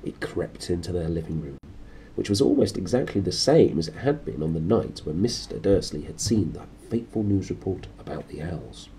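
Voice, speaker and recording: A man narrating a storybook in a lively way, with informal, playful asides to his listeners. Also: sits low, normal speed, slight background noise, rough recording. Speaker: reader, host of a read-aloud series.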